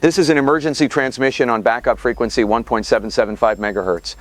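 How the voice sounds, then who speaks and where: A man's voice: mid-range voice, fairly even intonation, quick pace, natural voice. A man playing a grave late-night radio host broadcasting during a crisis in an audio drama, serious and formal. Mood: grave, urgent. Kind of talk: scripted radio host narration.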